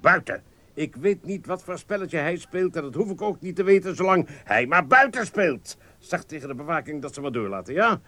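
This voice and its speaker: An actor playing a pompous, fussy, domineering supermarket manager in a Dutch radio play, with a patronising, theatrical delivery. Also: male voice, fairly high pitch, lively intonation, normal speed, pushed and bright. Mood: exasperated, bossy.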